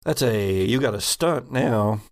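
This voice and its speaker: A man narrating a tabletop game as game master in a casual, halting way, thinking aloud as he goes. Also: on the low side, lively intonation.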